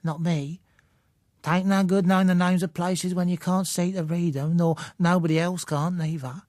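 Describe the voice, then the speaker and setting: A man voicing a wary, plaintive old woman with a London accent, in a thin, strained voice and halting, ungrammatical speech, for an audiobook. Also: sits high, steady tone, darkish tone, no echo, clean studio sound, pitched above the performer's own voice, natural voice. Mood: defensive.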